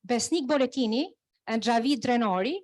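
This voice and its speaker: A woman's voice: a little high, a little fast, darkish tone, no room sound. A woman presenting journalism awards, speaking earnestly with frequent hesitations. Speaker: jury member presenting awards. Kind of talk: awards announcement.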